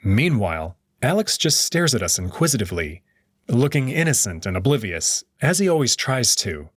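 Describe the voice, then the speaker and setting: A man narrating a visual novel aloud in an expressive way. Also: mid-range voice, normal speed, dry recording, studio quality. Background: background music.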